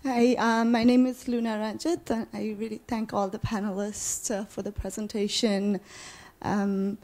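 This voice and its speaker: A woman's voice: medium pitch, level tone, moderate pace, darkish tone. A woman from Nepal asking a panel a question from the audience, informal and hesitant, often saying "like".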